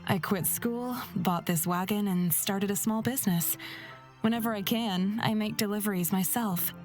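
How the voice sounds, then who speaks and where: Woman acting a calm, reflective wagon driver. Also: mid-range voice, faint music behind, close to an everyday voice. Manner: measured, thoughtful.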